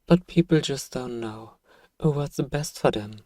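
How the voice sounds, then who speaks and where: A man playing a self-righteous evil emperor, softly and coaxingly telling a bedtime story to a child in an ASMR roleplay. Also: mid-range voice, a little slow, dry recording, clean studio sound, natural voice. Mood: smug soothing.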